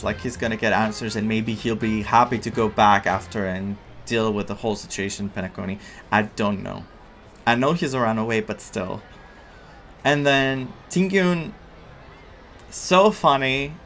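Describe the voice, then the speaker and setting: A man's voice: mid-range voice, a bit bright. Man gushing over game music. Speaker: host, game streamer. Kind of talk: casual let's play commentary.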